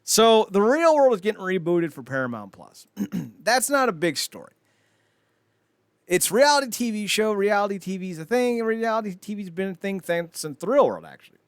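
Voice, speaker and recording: A man's voice: high-pitched, big pitch swings, normal speed, smooth, dry recording, clean studio sound. A man hosting a podcast with casual, comedic chat, riffing and swearing freely. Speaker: podcast host.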